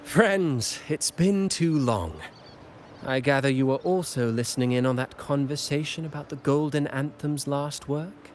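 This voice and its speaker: An actor voicing a theatrical, eloquent playwright in a video game, wry and relishing ominous words. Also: male voice, on the high side, unhurried, slight background noise, natural voice. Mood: wry, intrigued. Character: theatrical male playwright, brooding, wry and fond of dark tales. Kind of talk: dramatic video game voice acting.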